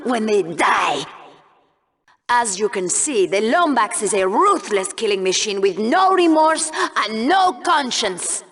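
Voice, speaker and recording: Bloodthirsty arena show hostess, very slow drawn-out delivery, animated pitch, gleeful, sadistic, theatrical game commentary. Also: female voice. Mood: sly, cruel, then mock-grave. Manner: sadistic, melodramatic.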